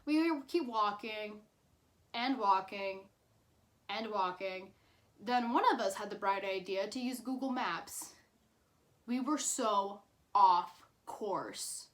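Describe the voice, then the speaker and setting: A woman in her twenties telling a personal story casually, in a chatty, informal way with dramatic emphasis. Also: fairly high pitch. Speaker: YouTube storyteller.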